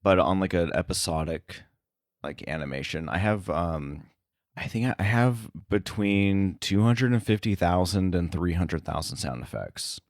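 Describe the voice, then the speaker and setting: Low-pitched man, halting casual talk. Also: no room sound, studio quality. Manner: halting, informal, filler-heavy phrasing. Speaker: interview guest, sound editor. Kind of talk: casual chat.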